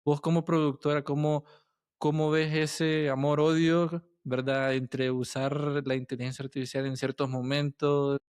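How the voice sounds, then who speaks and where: A man with a Latin American Spanish accent that uses vos, hosting a casual interview and thinking aloud in a halting way. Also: a little high, completely flat delivery, darkish tone, clean tone, no echo, clean studio sound. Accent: Latin American Spanish with voseo. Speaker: podcast host and interviewer.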